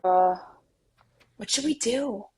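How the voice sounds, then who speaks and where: A woman's voice: fairly high pitch, slow, darkish tone, a little hiss or hum. A woman playing an earnest, socially conscious, awkward millennial twin in a comedy table read, with casual phrasing.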